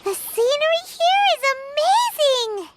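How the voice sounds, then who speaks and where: A woman voicing a tiny, excitable, childlike fairy companion in an anime video game, chirpy and cutesy. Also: extremely high pitch, unhurried, heavily acted. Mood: surprised, eager. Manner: chirpy, cutesy, speaks of self in third person. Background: video game music.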